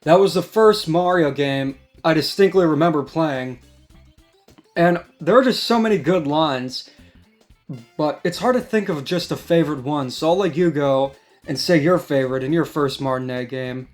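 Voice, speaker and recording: A man in a casual discussion, explaining things in a measured way as a co-host. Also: on the high side. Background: background music.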